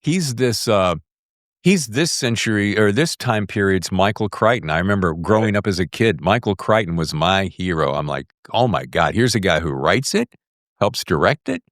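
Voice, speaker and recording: Middle-aged man, podcast host, lively swooping intonation, effusive, casual chat, dry close sound. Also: fairly low pitch, normal speed, no room sound. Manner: enthusiastic, effusive.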